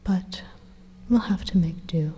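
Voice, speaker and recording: A woman playing an evil supercomputer AI inside the listener's mind, whispering softly and deliberately in a calmly menacing ASMR roleplay. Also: lowish voice. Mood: coaxing, eerie calm. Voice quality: breathy, soft whisper. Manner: slow, deliberate, coaxing, quietly threatening. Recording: long echoing reverb on close whisper.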